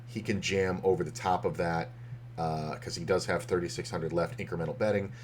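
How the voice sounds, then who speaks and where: Male streamer, deep and a bit hoarse, rambling casual poker commentary. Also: fairly even intonation, brisk, a little hiss or hum. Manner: rambling, loose, with many fillers and restarts. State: slightly hoarse.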